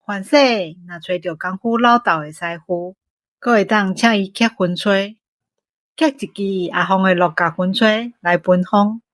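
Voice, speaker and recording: A woman's voice: mid-range voice, measured pace, fairly bright tone, no room sound, natural voice. A woman playing a young rural villager in an audio drama, speaking with a Taiwanese accent. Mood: friendly, practical. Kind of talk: scripted audio drama acting.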